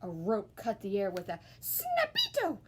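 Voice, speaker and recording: A woman reading a children's storybook aloud with expressive, theatrical, emphatic narration. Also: mid-range voice, a little slow, background noise. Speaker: school staff member reading aloud.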